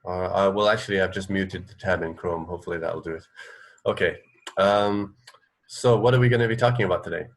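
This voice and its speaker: A middle-aged man co-hosting a radio show, chatting casually and haltingly over a video call from home. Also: low voice. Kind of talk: casual chat. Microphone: earphone mic on a video call.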